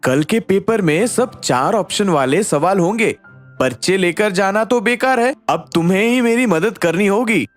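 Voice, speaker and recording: A woman voicing a cheeky, scheming schoolboy who explains glibly, in an animated story. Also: mid-range voice, measured pace, soft background music, natural voice. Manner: glib, explaining.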